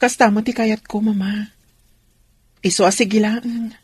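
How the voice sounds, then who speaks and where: Radio drama wife: husky, earnest, pleading, long-suffering, medium register. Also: female voice, medium pitch, fairly even intonation, unhurried. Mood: troubled.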